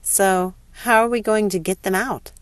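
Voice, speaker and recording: A woman's voice: medium pitch, fairly even intonation, moderate pace, clear, resonant tone, no echo, natural voice. A woman reading a story, voicing a confident young nurse who is assertive, pointed and questioning. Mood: incredulous. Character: Grace, confident, assertive young female nurse, easily appalled.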